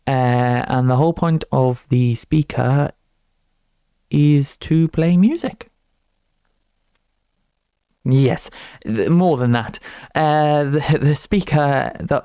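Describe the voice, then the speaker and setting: A man's voice: mid-range voice, gravelly, dark tone, no echo. A young man giving a casual, unscripted walkthrough of his own project in an informal, rambling, lightly amused way. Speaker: student game developer presenting own project.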